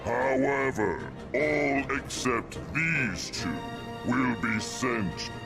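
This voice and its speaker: A man voicing a huge, impassive wish-granting dragon in a video game, booming, formal and weighty. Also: animated, very slow, creaky, heavily acted. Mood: impassive, commanding. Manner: ponderous, formal. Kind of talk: video game cutscene acting. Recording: loud game music over voice.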